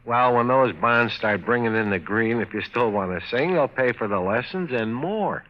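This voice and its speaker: An actor playing a practical, teasing husband with a wry, patient manner in a vintage radio comedy advert. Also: male voice, medium pitch, expressive, normal speed, close to an everyday voice. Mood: earnest, persuading. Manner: wry, explanatory. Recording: muffled vintage radio broadcast.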